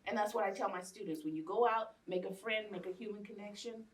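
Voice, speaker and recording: A woman's voice: mid-range voice, quick pace, recorded from a distance. An American woman in her late fifties speaking in an impassioned, emphatic panel discussion, repeating phrases for effect. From Los Angeles, United States. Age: late 50s. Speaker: guest speaker, educator.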